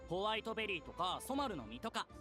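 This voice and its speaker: Cocky boyish anime game sidekick, talks really fast, high and bright. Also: male voice, very animated, heavily acted. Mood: casual, confident. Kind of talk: Japanese anime game voice acting. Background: game music.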